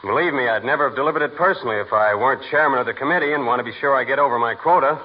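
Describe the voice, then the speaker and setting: Man playing a deadpan committee man, muffled old radio. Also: mid-range voice, very fast, close to an everyday voice. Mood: brisk, haughty. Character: dry, guarded committee chairman peddling war bonds. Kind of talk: 1940s radio comedy acting. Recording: muffled 1940s radio recording, faint hiss.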